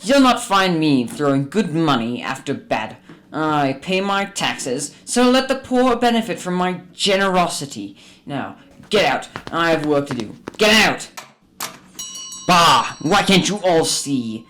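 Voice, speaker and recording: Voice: medium pitch, sing-song, unhurried, a bit bright, slight background noise. Someone playing a bitter old miser. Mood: scornful, angry. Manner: curt, scolding, grumbling. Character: bitter, miserly old male businessman, grumbling and scornful. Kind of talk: radio drama acting.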